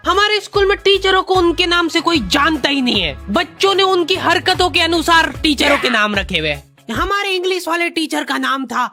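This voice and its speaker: A woman's voice: very high-pitched, animated, normal speed, few pauses, pushed and bright. A woman narrating a comic cartoon story in casual slang, with exaggerated mock exasperation. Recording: music behind the voice. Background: faint music.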